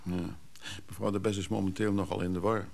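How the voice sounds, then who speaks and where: A man's voice: sits low, flat delivery, brisk, creaky, a little mellow. An actor playing a businesslike, discreet man in a naturalistic radio drama. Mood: probing. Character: businesslike man discreetly arranging a funeral and secrets.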